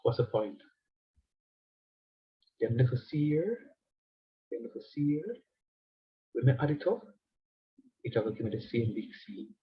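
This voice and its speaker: A man's voice: medium pitch, big pitch swings, unhurried, long pauses, husky, lo-fi sound. A man teaching a math lecture, nasal and stuffed up from a cold. He repeats his points and keeps checking that the class follows him. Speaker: university lecturer.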